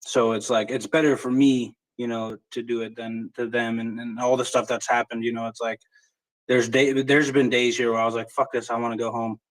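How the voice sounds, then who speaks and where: Flat-voiced military veteran man. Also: mid-range voice, poor audio. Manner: halting, plainspoken, with casual swearing. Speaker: military veteran fighting in Ukraine. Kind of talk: personal account in a live audio chat.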